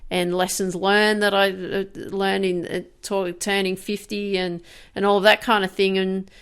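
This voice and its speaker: Gravelly-toned woman in her fifties, candid. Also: mid-range voice, level tone, a bit bright. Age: 50s. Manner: candid, halting, many restarts and fillers. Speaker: podcast host. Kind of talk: reflective personal monologue.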